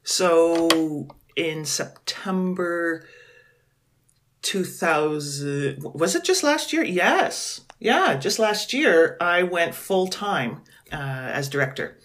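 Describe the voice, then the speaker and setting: A woman's voice: fairly low pitch, lively intonation, very slow, a bit bright. A woman in her forties, a university professor talking in a podcast interview, chatty and self-deprecating, laughing often. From Canada. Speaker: university professor and program director.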